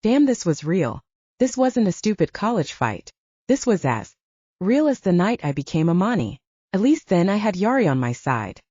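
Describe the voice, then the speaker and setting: Dark-voiced woman narrator, lively pitch swings. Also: moderate pace, slightly rough, no room sound, highs cut off. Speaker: audiobook narrator. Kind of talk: scripted first-person fiction narration.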